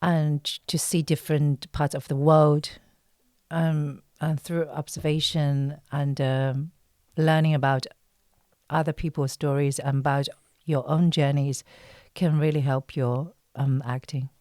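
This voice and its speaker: A woman's voice: sits low, little pitch movement, unhurried, darkish tone, dry recording, studio quality. A woman from China speaking as an interview guest, an actress answering casually in hesitant, thoughtful phrases.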